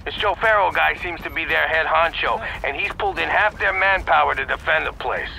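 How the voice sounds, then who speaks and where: An actor playing a middle-aged, jaded police captain, gruff, blunt and sardonic, in a video game. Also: male voice, on the high side, brisk, sharp tone, a little hiss or hum, full character voice, poor audio. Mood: wry, briefing.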